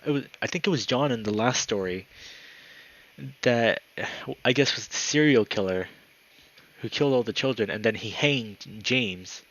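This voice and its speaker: A man's voice: fairly low pitch, normal speed, fairly bright tone, muffled recording. A man hosting a gaming video, reading a visual novel aloud with commentary and correcting himself when he stumbles over lines.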